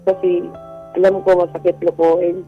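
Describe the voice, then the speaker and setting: A woman's voice: lowish voice, little pitch movement, moderate pace, highs cut off, soft background music. A woman calling a radio show, talking casually and confessionally in an earnest, hesitant way. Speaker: radio show caller sharing a secret.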